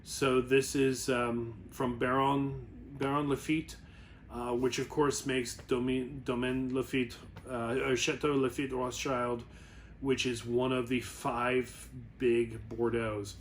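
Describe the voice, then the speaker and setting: A man's voice: medium pitch, flat delivery, takes it slowly. Man chatting informally over background noise. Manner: informal, rambling, self-correcting. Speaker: wine reviewer and host. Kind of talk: casual explainer to camera.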